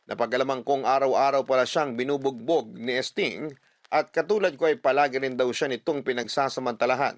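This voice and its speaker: A man's voice: medium pitch, no room sound. Dry-sounding male radio story narrator. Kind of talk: scripted first-person story narration, radio-style. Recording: dry close voice over music.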